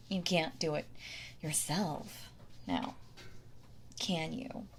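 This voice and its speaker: A woman's voice: deep voice, very animated, a little hiss or hum, heavily acted. A woman playing a possessive yandere wife in an intimate audio roleplay, doting and condescending, with a sweetly menacing manner. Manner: slow, coddling, condescending, with sighs and soft laughs.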